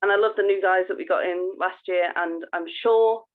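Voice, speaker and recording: A woman's voice: on the low side, fairly even intonation, normal speed. A woman co-hosting a podcast in casual conversation, explaining things informally and often restarting her sentences. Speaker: podcast co-host. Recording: narrow phone-like sound.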